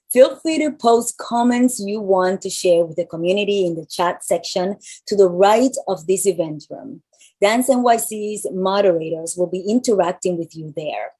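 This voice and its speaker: A woman with a mild Spanish accent and a deep voice reads welcome notes at a measured pace. She sounds like a host on a video call, speaking from a large room. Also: expressive, mellow, slightly rough, poor audio. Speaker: event host. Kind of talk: reading scripted welcome announcements.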